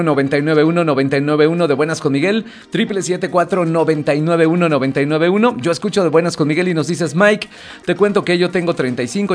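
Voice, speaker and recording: A man with a Mexican accent hosting a casual morning radio show, chatting with listeners and repeating phone numbers. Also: fairly high pitch. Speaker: radio host. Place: radio studio.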